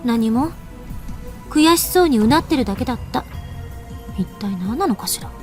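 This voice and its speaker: Woman as young anime game heroine, high pitch, very fast, cool, proud, blunt, crisp, faint music. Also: animated, darkish tone, close to an everyday voice. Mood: dismissive, unimpressed. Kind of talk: Japanese anime game voice acting.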